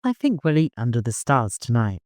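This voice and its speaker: A man voicing a kindly father and caravan leader in an audiobook, courteous, gently humorous and easily flustered. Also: medium pitch, huge pitch swings, normal speed, no room sound, studio quality. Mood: instructing.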